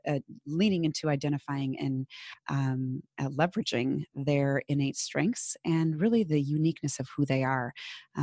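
A woman's voice: low-pitched, darkish tone, dry recording, clean studio sound. A woman from Minnesota with a Minnesotan accent, a business coach chatting as a podcast guest, full of fillers and restarts. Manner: chatty, many fillers and restarts, laughs easily. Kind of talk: casual interview chat.

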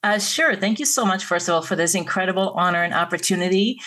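A woman's voice: fairly low pitch, fast, a bit bright. Earnest middle-aged woman. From Israel. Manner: earnest, with hesitant fillers. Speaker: guest. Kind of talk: podcast interview.

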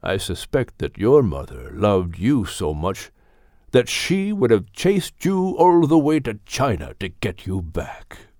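Man playing a slow, wise, fatherly animal mentor. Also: lowish voice, big pitch swings, no room sound, clean studio sound. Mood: earnest, reassuring. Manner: slow, measured, firm but gentle. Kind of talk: audiobook character voice.

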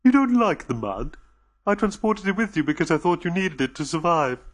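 A man voicing a nervous, gentle male alien who speaks anxiously and apologetically. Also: a little high, animated, fairly quick, voice pushed up.

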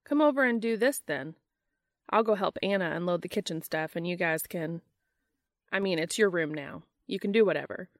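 Audiobook role: awkward young guy friend, read by a woman, halting, sardonic, mildly fed up, dry sound. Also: on the low side, no room sound, lightly acted. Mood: exasperated.

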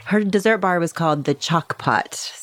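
A woman's voice: lowish voice, moderate pace, fairly bright tone. A woman co-hosting a podcast who talks in a gushing, enthusiastic way and laughs often. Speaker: podcast co-host. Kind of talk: upbeat podcast intro and interview.

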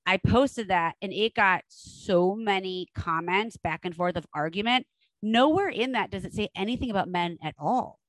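A woman's voice: mid-range voice, no room sound, low-quality recording. A woman podcast host and coach in a casual interview, enthusiastic and affirming, laughing often.

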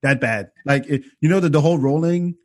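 A man's voice: fairly high pitch, brisk, darkish tone. Laid-back man from Senegal joking. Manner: loose, swearing, joking storyteller. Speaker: podcast host. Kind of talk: casual podcast chat.

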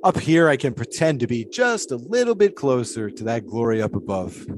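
A man's voice: medium pitch, very animated, normal speed, slight background noise, close to an everyday voice. A man playing an eccentric, devout 1920s pole-sitter in an audio drama, musing in folksy, old-fashioned storytelling phrases. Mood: awed, reverent.

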